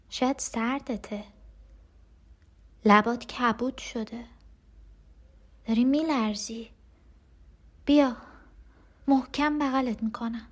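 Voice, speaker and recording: Woman acting scared clingy sister in a radio play, highish voice, intimate n pleading. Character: frightened, clinging unborn twin sister, tender and desperate. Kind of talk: dramatic monologue in an audio play.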